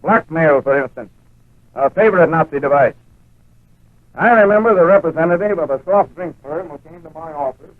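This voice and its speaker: A man's voice: a little high, lively intonation, fairly quick, no echo, natural voice. Casting: male actor as firm, earnest embassy attaché and narrator, emphatic delivery, thin narrow-band 1940s radio sound. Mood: earnest narration. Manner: authoritative, emphatic.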